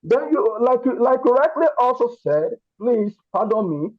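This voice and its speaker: A Nigerian man with a Yoruba accent and a notably high-pitched voice. He speaks earnestly, as if giving a testimony to a group, stressing points by repeating words. The sound is dull and lo-fi, like an online call. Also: sing-song, normal speed. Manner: emphatic, repeats words and phrases. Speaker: participant in an online masterclass giving feedback.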